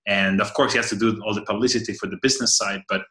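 A man from Argentina in his thirties talks over a video call in a halting, thoughtful way. His voice is lowish but bright and forceful. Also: steady tone. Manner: thoughtful, halting, searching for words. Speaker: engineer. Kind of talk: casual video call chat. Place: home room by a window. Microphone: laptop webcam on a video call.

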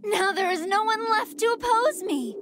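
A woman's voice: very high voice, expressive, moderate pace, background noise. A woman voicing a sweet-toothed, playfully cruel female android villain in an anime fighting game, with theatrical, taunting delivery. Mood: smug, gloating.

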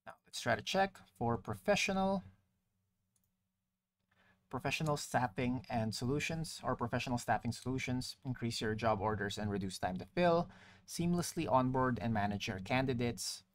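Male tutorial presenter, mid-pitched, explanatory walkthrough read over a screen recording. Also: expressive, moderate pace. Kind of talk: software walkthrough narration, partly read from screen.

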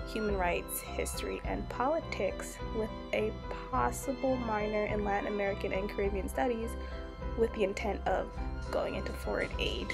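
Young woman with creaky voice. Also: medium pitch, fairly even intonation, normal speed. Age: late teens. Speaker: incoming university student. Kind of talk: casual webcam introduction. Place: bedroom, indoors. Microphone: laptop webcam. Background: music.

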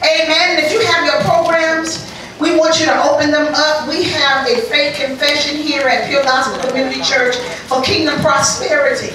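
A woman's voice: sits high, fairly even intonation, normal speed, background noise. A middle-aged woman leading a church offering appeal, preacherly, saying amen often and asking the congregation questions. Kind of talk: call-and-response church exhortation. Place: small church sanctuary. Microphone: handheld mic at a pulpit, filmed from the pews. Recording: echoey church from a far camera.

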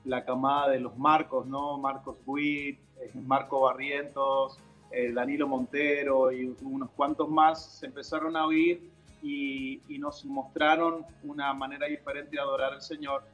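A man's voice: mid-range voice, little pitch movement, measured pace, fairly bright tone, soft background music. A middle-aged man from Chile, answering interview questions casually as a guest on a video call. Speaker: interview guest, pastor and worship musician. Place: indoor room at home, on a video call. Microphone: video call device microphone.

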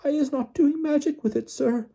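A man voicing a small, terrified female house-elf servant in an audiobook, squeaky, stammering and pleading tearfully. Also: normal speed, gravelly, dark tone. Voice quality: squeaky, little voice. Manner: stammering, gasping, broken grammar. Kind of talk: audiobook character voice.

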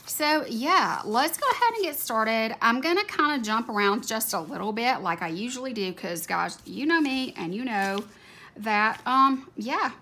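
A woman's voice: a little high, animated, moderate pace, pushed and bright. A woman with a slightly scratchy voice chatting casually while she decorates a planner at her desk, gushing over cute stickers. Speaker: planner video creator. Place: home desk, overhead camera on hands. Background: faint room ambience, a dog snoring.

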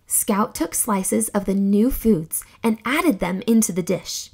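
A young woman reading a children's story aloud in a theatrical, expressive storytelling manner. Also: medium pitch, slow pace, gravelly, slightly rough. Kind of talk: expressive scripted read-aloud narration for children.

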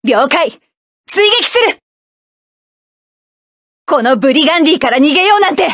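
A proud, fierce mecha pilot woman from an anime-style game, snapping out orders and cool, haughty taunts. Her voice sits very high and bright for a woman. It is recorded completely dry, with no room sound at all. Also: animated, moderate pace, no echo. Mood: fierce battle. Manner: sharp commanding battle shouts, cool haughty taunts. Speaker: voice actress for a game character. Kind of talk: game battle voice lines.

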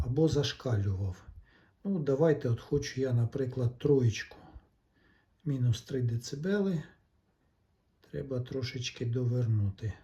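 A man giving a casual, step-by-step repair demonstration, thinking aloud in an unhurried, explanatory way. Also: mid-range voice, warm tone, highs cut off. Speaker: electronics repair hobbyist narrating.

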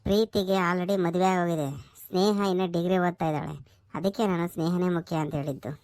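A woman's voice: on the low side, fairly even intonation, fast, darkish tone, no echo, lightly acted. A woman voicing a sly, affectionate family man in a comedy cartoon skit. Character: wisecracking husband and father, affectionate yet sly.

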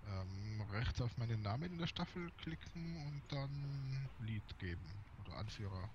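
A man's voice: mid-range voice, animated, normal speed. A man in casual, joking gaming chat over online voice chat. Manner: joking, teasing. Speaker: game streamer. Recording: muffled voice chat with clear background noise.

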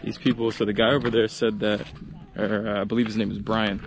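A man's voice: on the low side, level tone, a little fast. A man with an American accent chatting casually and informally as a vlog host outdoors. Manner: friendly, informal, talks with slang. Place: outdoors in the open. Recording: noisy outdoor recording with vehicle sounds.

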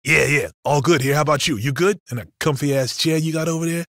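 A man's voice: on the high side, fairly quick, fairly bright tone, dry recording, studio quality. A man with an American accent voicing a brash, jumpy, foul-mouthed video game robber, agitated and stammering. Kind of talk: game voice lines over radio.

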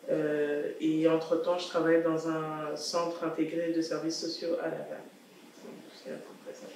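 A woman's voice: sits low, flat delivery, moderate pace, darkish tone, a little hiss or hum. A woman in her twenties from Congo-Kinshasa, a student speaking plainly on a discussion panel. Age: 20s. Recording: echoey room, distant mic.

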